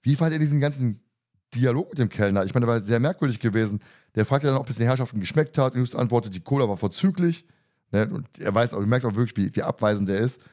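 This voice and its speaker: Guy hosting a podcast, talks super quick, chatty and jokey, teases, colloquial recap style. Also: mid-range voice, darkish tone. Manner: colloquial, joking, teasing. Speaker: podcast host. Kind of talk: casual podcast discussion and plot recap.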